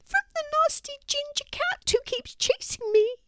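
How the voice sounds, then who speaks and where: Woman voicing a tiny squeaky timid mouse. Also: animated, moderate pace, a bit bright, no echo, studio quality. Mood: frightened. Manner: trembling, exclamatory. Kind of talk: children's storybook character voice.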